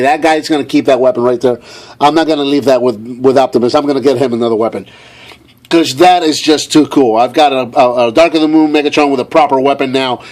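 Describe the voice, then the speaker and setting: Male toy review host, higher-pitched voice, enthusiastic and emphatic, speaking off the cuff. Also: a little mellow. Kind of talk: unscripted video toy review.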